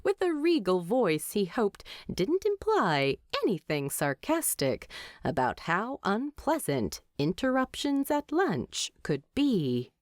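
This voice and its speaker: Woman, audiobook storyteller, lively swooping pitch, unhurried pace, close dry recording. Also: dry recording. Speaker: audiobook narrator. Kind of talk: expressive fan fiction storytelling.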